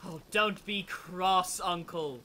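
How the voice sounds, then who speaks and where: An actor playing a cheerful, warm-hearted nephew in a radio drama, earnest, hearty and declamatory. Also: male voice, high voice, slow pace, slight background noise, heavily acted. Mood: jovial, teasing. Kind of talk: stage-style radio drama acting.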